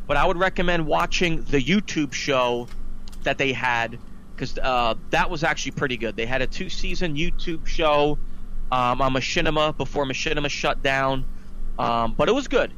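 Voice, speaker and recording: Male podcast co-host, fairly high voice, emphatic and exasperated casual chat, noisy recording. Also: pushed and bright. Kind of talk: casual opinionated podcast chat about films.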